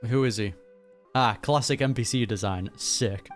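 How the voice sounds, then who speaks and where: A man's voice: medium pitch, normal speed. A man giving casual, slangy gaming reaction commentary in a joking, conversational way. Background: game music.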